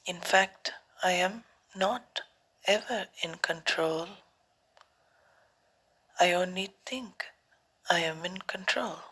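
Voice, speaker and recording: A woman meditation teacher speaks extremely slowly in short, calm phrases separated by long pauses. Her voice is soft and breathy, often close to a whisper, and sits fairly low for a woman. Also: rough recording. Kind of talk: guided meditation.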